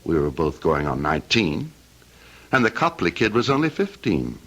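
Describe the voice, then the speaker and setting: A man's voice: low voice, wildly swooping pitch, heavily acted. An actor playing a cold, scheming heir in his thirties, menacing and mocking, in old-time radio drama style. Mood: coldly insistent. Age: 30s. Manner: menacing, mocking, theatrically deliberate.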